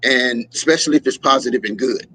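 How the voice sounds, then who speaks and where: A man in a relaxed interview telling stories with energy, often jumping into voices when he quotes people. His voice sits at a middle pitch. A faint hiss of noise runs behind him. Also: fast, rough recording. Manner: animated storyteller, acts out quotes. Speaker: musician guest. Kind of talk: casual interview chat.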